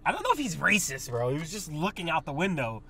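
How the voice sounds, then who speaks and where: A man's voice: on the high side, quick pace. A young man from the United States co-hosting a podcast, chatting casually and telling stories with lots of swearing. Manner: loose, profane, animated storytelling. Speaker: podcast co-host. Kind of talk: casual chat. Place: inside a car. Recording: noisy car interior.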